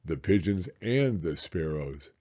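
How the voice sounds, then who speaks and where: A man voicing a gentle father in a storybook, speaking calmly and tenderly to reassure his young son. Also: deep voice, unhurried, dull audio, voice pushed down.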